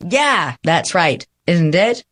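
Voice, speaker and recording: Radio play neighbor: easygoing, apologetic woman acting, lowish voice, dry close recording. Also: sing-song, slow pace, fairly bright tone, no echo, heavily acted. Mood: friendly, apologetic. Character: friendly, apologetic neighbor, Mister Gailey.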